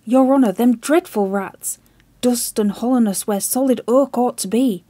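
A woman voicing a terrified young sailor in a spoken story, pleading loudly and desperately. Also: a little high, normal speed, dry recording, lightly acted. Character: terrified young sailor driven half mad, male.

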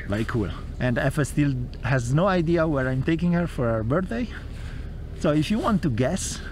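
A man's voice: mid-range voice, darkish tone, slight background noise. A man in his thirties with an Italian accent, hosting a casual travel vlog in a playful, teasing way, speaking English with small slips outdoors in the snow. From Italy. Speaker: vlog host. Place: outdoors in snow at a car charging station.

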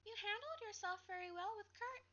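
A woman's voice: very high-pitched, brisk, sharp tone, a little hiss or hum, voice pushed up. A woman voicing a sweet, nervous young schoolgirl from a storybook, with a shy, hesitant manner. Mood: friendly. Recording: dull lo-fi webcam audio.

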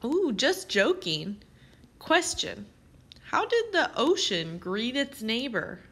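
A woman reading aloud as a teacher, with expressive, carefully phrased delivery aimed at young children. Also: on the high side, slow. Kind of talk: children's read-aloud narration.

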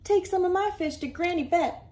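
A woman's voice: very high-pitched, moderate pace, darkish tone, voice pushed up. A woman voicing friendly, helpful country farm folk in a folksy, exaggerated storybook manner.

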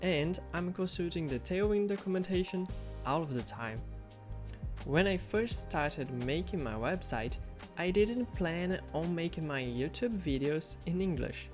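A man's voice: on the high side, expressive, measured pace. A man whose first language is Portuguese, narrating a coding project in an upbeat, casual voice-over. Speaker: web developer vlogger. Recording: soft background music under voice.

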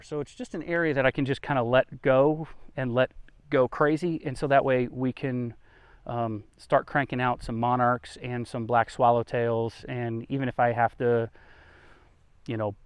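Clear-voiced man giving a casual garden tour. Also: mid-range voice, fairly even intonation, moderate pace, no room sound. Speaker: gardening vlogger, host. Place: outdoors in a home garden.

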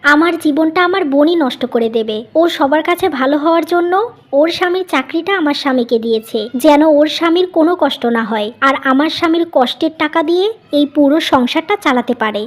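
A woman's voice: sits high, fast talker, lightly acted. A woman voicing a selfish, resentful young wife in a cartoon, curt and accusing. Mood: bitter, dismissive. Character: resentful, selfish young wife, sharp-tongued with her family.

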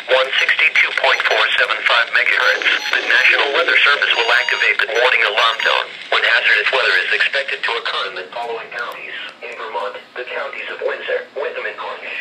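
A man's voice: medium pitch, far from the mic. Man announcing over noisy weather radio. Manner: formal, measured, impersonal. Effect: weather radio speaker. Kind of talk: scripted public service announcement. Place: played from small weather radios in a home room. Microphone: handheld camera moved among radios. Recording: noisy radio playback in echoey room.

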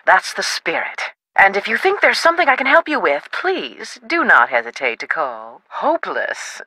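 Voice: medium pitch, bright, forceful tone, no room sound, poor audio. An actor playing a self-assured, chatty, theatrical historical novelist in a video game. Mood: upbeat, encouraging. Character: high-spirited, self-promoting writer of historical novels, local history buff. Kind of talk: video game character dialogue.

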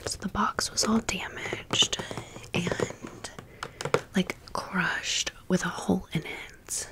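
Whispering American woman, slow ASMR storytime. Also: on the low side, steady tone. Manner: sassy, rambling, emphatic asides. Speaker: ASMR video host.

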